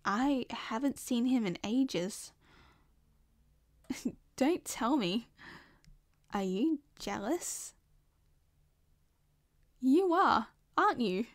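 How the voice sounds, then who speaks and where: A woman's voice: on the high side, lively intonation, drawn-out words, pauses often, no echo, clean studio sound. A woman with an Australian accent playing a possessive, scheming young woman in an ASMR roleplay, sweet and coaxing. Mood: sweet, probing.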